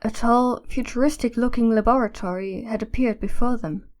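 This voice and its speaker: A woman's voice: a little high, fairly even intonation, normal speed, darkish tone. A woman narrating an audio drama in scripted storytelling style.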